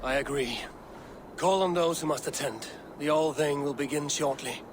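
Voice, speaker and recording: Man acting steady Viking war leader, faint ambience. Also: fairly high pitch, normal speed, background noise, close to an everyday voice. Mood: composed. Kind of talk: dramatic video game acting.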